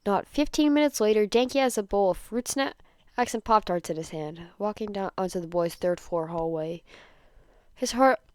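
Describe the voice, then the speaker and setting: A woman narrating a story in an expressive amateur reading style, now and then stumbling and repeating a word. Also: mid-range voice, moderate pace, clear, resonant tone, dry recording, studio quality. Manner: stumbles over words and rereads them. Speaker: podfic narrator.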